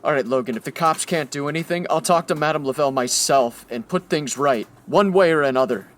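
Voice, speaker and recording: An actor playing a tough, hard-boiled crime photographer with a clipped, confrontational manner in a comic radio noir. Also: male voice, a little high, brisk, fairly bright tone, slight background noise, natural voice. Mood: grim resolve. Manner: gruff talk, confrontational, clipped. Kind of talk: old-time radio noir acting, comedic.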